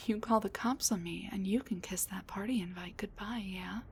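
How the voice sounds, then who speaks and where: A woman playing a sassy, rambling wounded supervillain with a soft side, talking intimately to the listener in a roleplay audio drama, with breathy asides and sighs. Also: medium pitch, fairly even intonation, moderate pace, slight background noise, lightly acted. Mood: playful flirting.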